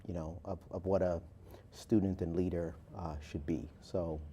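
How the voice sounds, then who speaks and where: A man in his forties from Barbados, an author and guest, giving measured, thoughtful interview answers with many filler pauses. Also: low-pitched, little pitch movement, dark tone, slightly rough.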